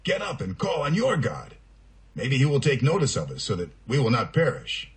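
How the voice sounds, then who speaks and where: An alarmed ship's sailor, played by a male storyteller in a children's puppet show. He is urgent and demanding, and his pitch rises and falls widely. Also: huge pitch swings, moderate pace, vocal fry. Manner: urgent, questioning, pleading.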